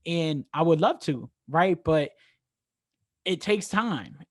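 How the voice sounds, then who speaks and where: A man's voice: on the high side, expressive, takes it slowly, no echo, studio quality. A man with a Bronx accent co-hosting a podcast, enthusiastic and keeps checking in with "right?". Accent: New York, Bronx. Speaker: podcast co-host. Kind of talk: casual podcast interview.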